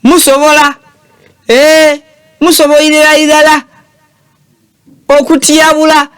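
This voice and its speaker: A Ugandan man hosting a show, giving animated and teasing political commentary that switches languages mid-sentence. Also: extremely high pitch, measured pace, dry recording.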